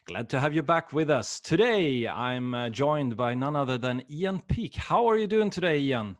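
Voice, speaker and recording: A man hosts a webinar interview with an upbeat, welcoming tone. His pitch is in the middle range, and he often falls back on "fantastic" along with hesitant "um"s and "uh"s. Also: expressive, normal speed. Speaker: webinar host, director of education.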